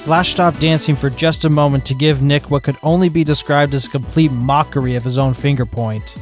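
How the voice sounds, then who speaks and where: A man narrating a fan fiction story in a steady, matter-of-fact manner. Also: on the high side, brisk, faint music behind.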